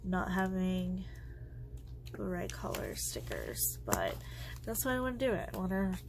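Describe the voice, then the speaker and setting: A woman's voice: lowish voice, wildly swooping pitch, a little slow, noisy background. A congested woman chatting casually and rambling as she crafts at home, her thoughts often trailing off. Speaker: planner vlogger. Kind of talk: casual chat while crafting.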